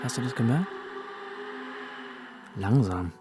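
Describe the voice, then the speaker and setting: An actor playing an earnest, brooding wanderer in a science-fiction radio drama, speaking pensively and deliberately. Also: mid-range voice, lively intonation, loud music behind the voice.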